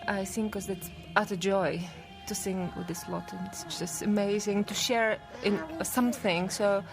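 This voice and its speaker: A woman's voice: mid-range voice, fairly even intonation, measured pace, creaky, poor audio. A woman from Bulgaria, a choir singer, giving personal interview remarks. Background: music.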